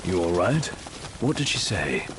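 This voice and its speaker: A man's voice: low-pitched, very animated, measured pace, rough recording. A man playing a proper, concerned royal adviser in a video game, with formal and precise wording. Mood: concerned, dry. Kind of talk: dramatic video game voice acting. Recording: game sound effects behind voice.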